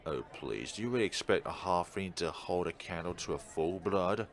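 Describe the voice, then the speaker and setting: A haughty male elf from a fantasy visual novel, acted out by a man reading along. He speaks in a deep voice, sneering and dismissive, as if everyone is beneath him. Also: animated, moderate pace.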